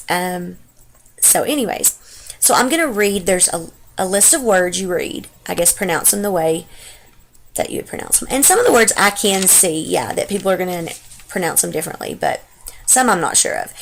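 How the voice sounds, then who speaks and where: Young woman, strong Texas accent, gravelly fry, loose rambling home-vlog chat, webcam mic, faint hiss. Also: on the low side, poor audio. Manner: chatty and rambling, many fillers. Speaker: stay-at-home mom vlogger. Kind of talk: casual unscripted vlog chat. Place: home, partly the living room. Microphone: computer webcam mic.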